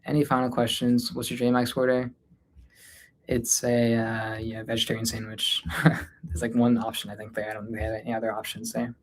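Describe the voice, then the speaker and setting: A man's voice: mid-range voice, completely flat delivery, brisk, a little hiss or hum, poor audio. A man from North India chatting casually as a livestream host, tired and informal, hesitating and trailing off as he answers questions. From North India, Delhi area. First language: Hindi, mixed with English. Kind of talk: casual unscripted Q&A livestream chat.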